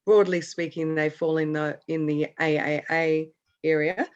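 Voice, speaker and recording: A woman from Australia, hoarse with a cold, introducing herself in a video meeting, hesitant with many fillers. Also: deep voice, little pitch movement, a little slow. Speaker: equine psychotherapy trainer. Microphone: video call. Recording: dull lo-fi video call audio.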